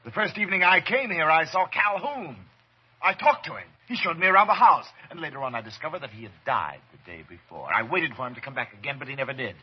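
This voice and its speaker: An actor playing an obsessed, murderous doctor in a theatrical old-time radio horror drama. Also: male voice, on the high side, lively intonation, fast, husky. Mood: feverish, obsessed. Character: obsessed doctor turned coldly murderous, later terrified. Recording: dull, noisy vintage radio recording.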